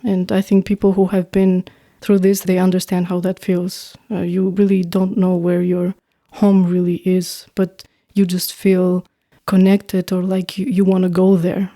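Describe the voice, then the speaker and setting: A woman with an Albanian accent, a native Albanian speaker, talking as an interview guest in a thoughtful, halting, reflective way. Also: lowish voice, monotone, mellow. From North Macedonia, Albanian family. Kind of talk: reflective casual conversation.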